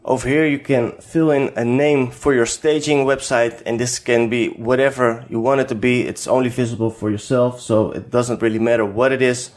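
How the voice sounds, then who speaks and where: A man from the Netherlands with a Dutch accent, whose first language is Dutch, giving an unscripted software tutorial in a hesitant way with many fillers. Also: mid-range voice, fairly even intonation, normal speed, few pauses. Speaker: tutorial host.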